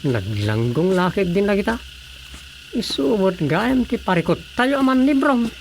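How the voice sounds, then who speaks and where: A woman with an Ilocano accent playing a brisk, inquisitive village councilor in a radio drama comedy. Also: medium pitch, big pitch swings, a little mellow, a little hiss or hum. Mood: concerned.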